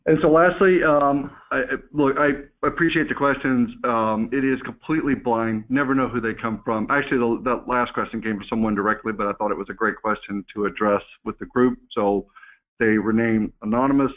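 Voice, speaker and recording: A man's voice: medium pitch, fairly quick. A man speaking as a company executive on a staff call, presenting informally and candidly while reading and answering questions. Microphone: conference call phone line. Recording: muffled narrow phone conference line.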